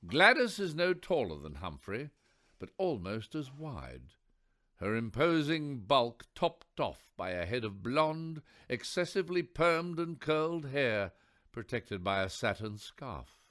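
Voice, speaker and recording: A man narrating a radio play in a wry, theatrical storyteller's manner with deliberate emphasis. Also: medium pitch, big pitch swings, a bit bright.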